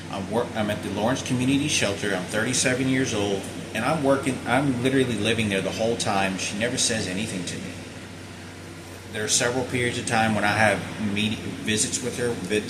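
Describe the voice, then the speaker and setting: Rambling low-voiced man in his thirties. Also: noisy background. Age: 30s. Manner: repetitive, restarts and corrects himself often. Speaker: vlogger. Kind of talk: rambling personal account to camera.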